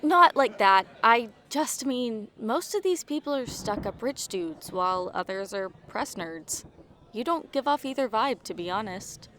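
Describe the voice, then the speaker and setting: Woman playing a forthright, teasing higher-voiced woman. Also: expressive, measured pace, a bit bright. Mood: teasing, casual. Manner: casual, blunt banter. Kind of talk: audio drama acting.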